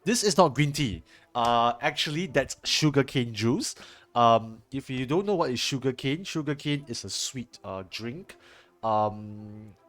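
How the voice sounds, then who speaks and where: A man's voice: mid-range voice, lively intonation, unhurried, a bit bright. A young man with a Singaporean accent chatting casually to his stream viewers, rambling with lots of fillers and restarts. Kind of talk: casual chat answering viewer chat while editing. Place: home room, indoors. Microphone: headset.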